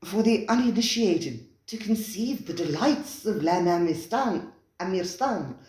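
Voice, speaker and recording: An earnest, curious diner, voiced by a storyteller, asking probing questions with a slightly hesitant push. His voice rises and falls with lively inflection, set in a roomy, echoey recording. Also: medium pitch, a little slow, slight background noise, voice pushed up, lightly acted. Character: curious, earnest male restaurant guest.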